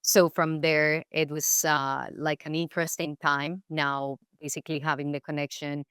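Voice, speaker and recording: A woman's voice: low-pitched, level tone, moderate pace, a bit bright, clear, resonant tone, no room sound, studio quality. A Colombian woman whose first language is Spanish, speaking English with a Colombian accent, reflecting as an interview guest with many fillers and restarts. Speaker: guest actress. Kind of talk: casual interview chat.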